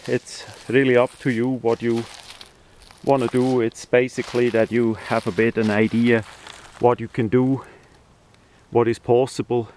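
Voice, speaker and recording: A man's voice: mid-range voice, little pitch movement, unhurried, darkish tone, a little hiss or hum. A man who speaks English as a second language, giving a casual hands-on demonstration outdoors with hesitant phrasing. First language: not English (non-native speaker). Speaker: hobbyist video maker. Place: outdoors, beside a tarp on the ground.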